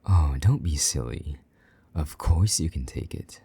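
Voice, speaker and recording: A man playing a possessive, condescending vampire knight in an intimate roleplay, taunting and commanding in a husky, breathy half-whisper. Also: very deep voice, lively intonation, unhurried, warm tone, no room sound, exaggerated voice. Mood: teasing, smug. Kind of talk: intimate roleplay audio drama.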